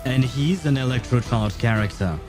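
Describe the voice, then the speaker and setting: A man's voice: medium pitch, moderate pace, over music. A man livestreaming casual reaction commentary on a game, thinking aloud in informal language.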